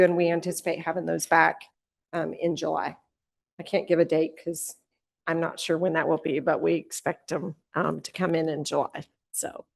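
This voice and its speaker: Measured woman presenting program updates. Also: on the low side. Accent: American. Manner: measured, with frequent ums. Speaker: state waiver program official. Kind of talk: presenting updates at an advisory meeting.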